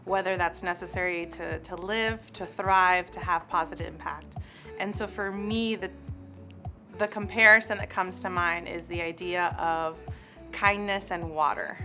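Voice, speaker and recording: A woman giving a reflective interview-style answer, pausing as she builds her thoughts. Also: on the low side, fairly even intonation, bright, forceful tone. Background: music.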